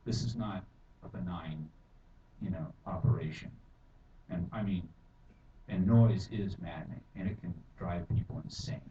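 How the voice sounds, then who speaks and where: A deep-voiced middle-aged man testifies in a rambling way, with many fillers, and turns forceful as he goes. He sounds dull and distant, caught across a reverberant council chamber. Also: normal speed. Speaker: public testifier. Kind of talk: public testimony at a council hearing. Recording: echoey hall from far off, dull and noisy.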